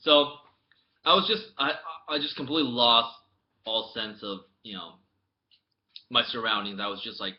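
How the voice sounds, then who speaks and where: A young man talks to his laptop camera in a casual, rambling way and keeps restarting his sentences. His voice sits at an ordinary middle pitch. It jumps between low mutters and sudden loud bursts, and the laptop recording sounds dull and lo-fi. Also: normal speed, long pauses. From United States. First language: English. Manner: rambling storytelling with many restarts, swinging from mumbled asides to loud outbursts. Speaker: vlogger. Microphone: laptop webcam mic.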